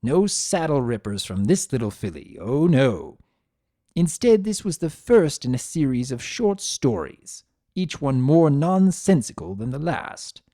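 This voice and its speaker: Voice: mid-range voice, big pitch swings, measured pace, warm tone, no room sound, clean studio sound. A performer voicing a wry, grumbling male spirit of chaos, sarcastic and theatrical, telling a story in the first person. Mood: amused, dry. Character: Discord, sardonic, grumbling male spirit of chaos turned statue.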